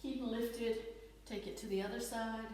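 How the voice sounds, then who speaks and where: Gentle woman instructor with slight Dutch accent. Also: on the high side, steady tone, a little slow, recorded from a distance. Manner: gentle, encouraging cues. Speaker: fitness instructor. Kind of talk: guided exercise class. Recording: echoey room, voice off-mic.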